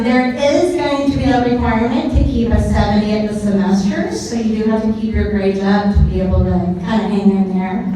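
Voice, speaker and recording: A woman with a loud, projecting voice, speaking informally as a teacher presenting to parents. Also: fairly high pitch. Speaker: middle school math teacher. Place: large echoing indoor room with ambient noise. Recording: echoey hall from far off, faint noise.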